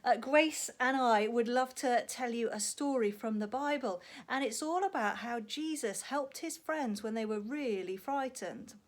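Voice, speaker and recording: A woman telling a story to young children in an animated, expressive way, prompting with questions and acting out sound effects. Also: fairly high pitch, unhurried, few pauses.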